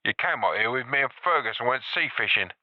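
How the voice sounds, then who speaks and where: Male reader as a nervous, fast-talking guy on a muffled phone line. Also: mid-range voice, animated, no echo, lightly acted. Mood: panicked. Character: panicked young man on the phone.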